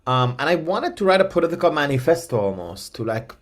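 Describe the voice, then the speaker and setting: A man's voice: on the high side, big pitch swings, quick pace. A man from Iran whose first language is Persian, answering interview questions at length in a thoughtful way with many fillers and restarts, heard over a video call. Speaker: guest expert.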